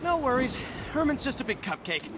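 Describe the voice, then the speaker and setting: A wisecracking young hero. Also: male voice, very high-pitched, big pitch swings, fairly quick. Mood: joking. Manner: joking, self-mocking. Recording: game audio with loud action noise.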